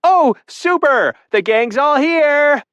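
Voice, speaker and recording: A man's voice: animated, normal speed, no room sound, dull audio. A man voicing a panicked young woman who shrieks and scolds frantically. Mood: hysterical sarcasm. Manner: shrill, frantic, yelling.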